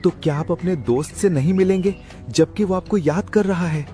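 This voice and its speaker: Earnest hyena courier for a children's cartoon male actor, raised pitch, urgent and persuasive delivery. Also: normal speed, mellow, soft background music, lightly acted. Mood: earnest urgency. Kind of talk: Hindi animated moral story acting.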